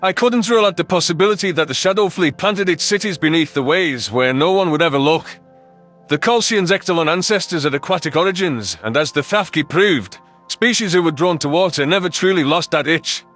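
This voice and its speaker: A man's voice: a little high, fairly quick, a bit bright, faint music behind, lightly acted. A man playing a thoughtful alien soldier who narrates his own story in a scripted sci-fi audio drama. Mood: reflective, serious.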